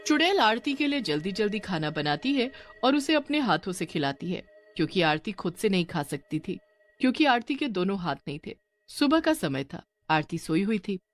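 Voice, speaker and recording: Woman narrator, scripted cartoon horror tale, mid pitch, very varied intonation, background music. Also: very animated, normal speed.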